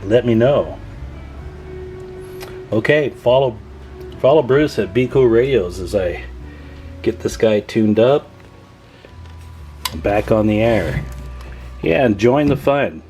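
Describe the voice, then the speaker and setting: A man's voice: lowish voice, animated, slow, long pauses, darkish tone, faint music behind. A man giving a casual, chatty show-and-tell as a radio repairer and video host, folksy and enthusiastic.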